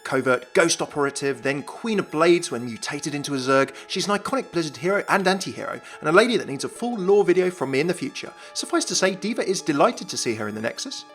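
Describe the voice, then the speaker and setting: A man's voice: fairly high pitch, animated, fast talker, hardly any pauses, a bit bright, faint music behind. A man narrating a scripted gaming video as its presenter.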